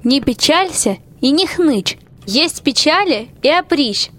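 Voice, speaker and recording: High-voiced magical fairy-tale wife played by a woman. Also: animated, slow, fairly bright tone, heavily acted. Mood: soothing, commanding. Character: caring, commanding young magical peasant wife. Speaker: student radio-play actor. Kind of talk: rhymed radio play acting.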